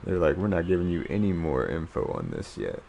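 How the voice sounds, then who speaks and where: Casting: male game streamer, deep mellow voice, slangy off-the-cuff commentary, thinking out loud, faint noise behind. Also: wildly swooping pitch, brisk, creaky. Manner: slangy, musing aloud. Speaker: gaming live streamer. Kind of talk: casual live game commentary.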